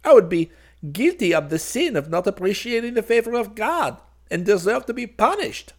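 A man's voice: high voice, very animated, moderate pace, voice pushed up, heavily acted. A man voicing a vain, pompous bird king in a storybook, speaking in a grandiose, gracious and self-admiring manner. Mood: indignant, proud. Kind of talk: storybook character voice.

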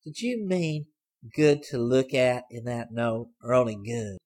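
Sabbath, a cocky, artless teenage girl, as a male narrator puts her on, husky and raised well above his own low register. She talks bluntly, pushing provocative remarks without shame in a storybook reading. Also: animated, measured pace, a little mellow. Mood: brazen. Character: brash, teasing Southern teenage girl. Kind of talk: audiobook character voice.

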